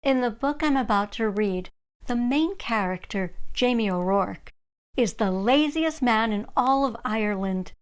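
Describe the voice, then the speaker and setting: High-voiced, very expressive woman storyteller. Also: measured pace, clean tone. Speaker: storyteller reading children's books aloud. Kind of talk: expressive scripted read-aloud narration for children.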